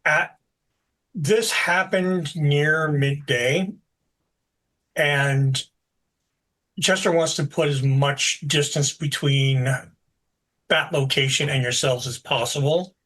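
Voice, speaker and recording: A man running a tabletop game as game master, narrating scenes in a relaxed, explanatory way with frequent fillers. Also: on the high side, slow pace, long pauses, poor audio.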